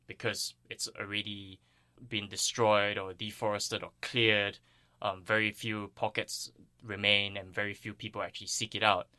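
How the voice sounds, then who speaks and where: A man's voice: on the low side, pushed and bright, no echo. A man from Singapore giving earnest, thoughtful explanations as an interviewed plant ecologist.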